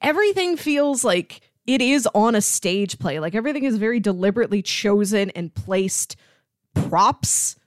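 A woman with a medium-pitched voice talks casually as a podcast co-host about films. She is enthusiastic and analytical, leaning on key words for emphasis. The sound is dry, with little room around it. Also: expressive, moderate pace, no echo. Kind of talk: casual film discussion.

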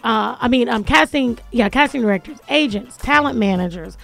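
A woman with a deep, raspy voice hosting a casual radio talk show from a studio, talking with lots of hype, repetition and fillers. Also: animated, moderate pace, a bit bright, faint music behind.

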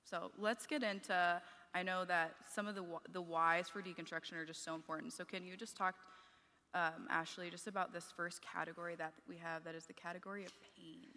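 A woman cohosting a live podcast, speaking in a measured way and affirming her guest often. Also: fairly low pitch, level tone, sharp tone. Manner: measured, affirming, quick "yeah"s. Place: conference stage with hall. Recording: live hall reverb.

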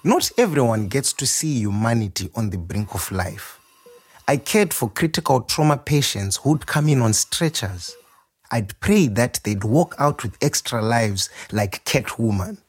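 An actor playing a warm, proud, playful nurse turned chef in an expressive audio monologue. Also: male voice, medium pitch, measured pace, breathy edge. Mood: reflective. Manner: expressive, reflective, wryly humorous. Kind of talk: dramatic first-person monologue.

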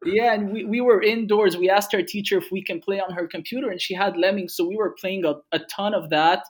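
A man's voice: high-pitched, a little fast, breathy edge, poor audio. A young man from Egypt, a game studio co-founder, telling stories in casual conversation with many fillers. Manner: relaxed storytelling with frequent fillers.

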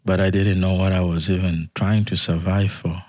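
A man telling his life story as an interview guest, talking in a halting, soft-spoken way with frequent restarts. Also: low voice, little pitch movement, dry recording, studio quality. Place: podcast studio.

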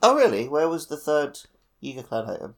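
A man's voice: fairly high pitch, sing-song, brisk. A man co-hosting a podcast in casual, joking conversation. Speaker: podcast co-host. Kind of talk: casual chat.

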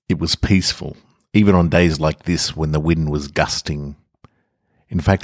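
A man with an extremely low voice narrates short fiction as a podcast host. He moves between casual introductions and scripted prose. The sound is close, with very little room. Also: dry recording. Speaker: podcast host and story reader.

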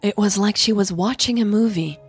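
A woman podcast host telling a story in a slow, suspenseful, intimate manner. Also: medium pitch, breathy edge, soft background music. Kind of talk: dramatic audio story narration and podcast chat.

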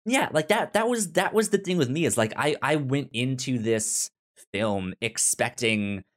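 A podcast host with a halting, stammering delivery, chatting casually about a film. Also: mid-range voice, expressive. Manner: halting, stammering with repeated syllables. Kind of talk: casual movie discussion podcast.

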